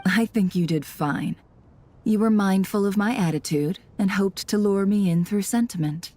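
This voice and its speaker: An actress playing a shrewd, guarded intelligence broker in a video game, cool and knowing in manner. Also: female voice, medium pitch, moderate pace, a little mellow, close to an everyday voice. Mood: cool, probing.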